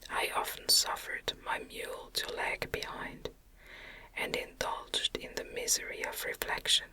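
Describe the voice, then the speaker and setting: A woman reading a gothic novel aloud as ASMR, in a gentle, breathy, unvoiced whisper. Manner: gentle, measured. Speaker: audiobook reader.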